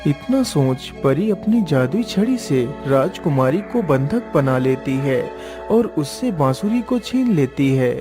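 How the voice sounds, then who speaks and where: Male narrator, lively intonation, scripted children's storytelling, clear music bed. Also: a little high, a little slow.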